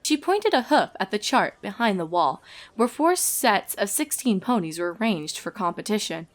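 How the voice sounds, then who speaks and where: A woman's voice: medium pitch, sing-song, normal speed. A woman reading scripted narration of a comic story as the storyteller.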